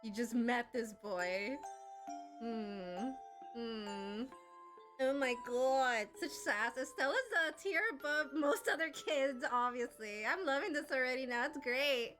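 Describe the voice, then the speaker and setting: A woman's voice: fairly high pitch, expressive, measured pace, fairly bright tone. A woman giving casual, playful, giggly reactions as she plays through a video game. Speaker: gaming YouTuber. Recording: game music under the voice. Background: video game music and sounds.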